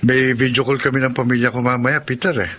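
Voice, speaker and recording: A man's voice: mid-range voice, level tone, fast talker, a bit bright, slight background noise, natural voice. An actor playing a faithful, principled family-man sailor in a Tagalog radio drama. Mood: easygoing, principled.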